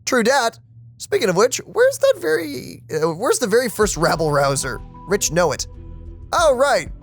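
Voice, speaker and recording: Animated, playful game streamer. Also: mid-range voice, normal speed, no echo, faint music behind. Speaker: let's play streamer. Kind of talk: casual game commentary and reading dialogue aloud.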